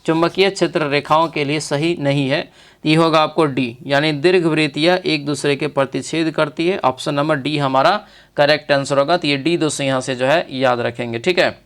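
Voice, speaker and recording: Male tutor from India, smooth crisp voice on the higher side, informal quiz walkthrough with repeated key phrases. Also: moderate pace. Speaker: exam-prep teacher. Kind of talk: instructional quiz walkthrough, reading questions and explaining answers.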